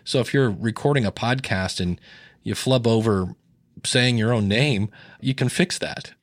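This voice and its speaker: A man of middling pitch talks on a podcast, looping through anecdotes and quoting others with a lifted voice. The sound is dry and close, with no room around it. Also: unhurried, no echo. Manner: storytelling, animated with rising pitch in quoted lines. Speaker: podcast guest. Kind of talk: casual interview talk.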